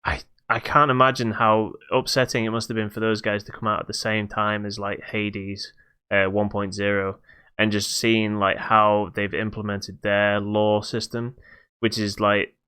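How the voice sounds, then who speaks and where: A man's voice: fairly low pitch, flat delivery, moderate pace, bright, forceful tone, smooth. A man chatting casually about video games as a podcast host.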